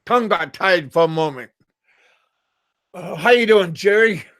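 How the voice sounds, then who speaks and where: A middle-aged American man chatting casually on a live stream, halting and repeating his words. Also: high-pitched, expressive, fairly bright tone, dry recording, studio quality. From USA. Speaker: livestream host.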